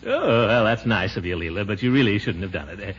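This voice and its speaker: An actor playing a pompous, flustered middle-aged uncle, hesitant and blustering, in a 1940s radio comedy. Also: male voice, on the low side, lively intonation, fast talker, natural voice. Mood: ailing, grumbling. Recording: muffled noisy 1940s radio, studio audience.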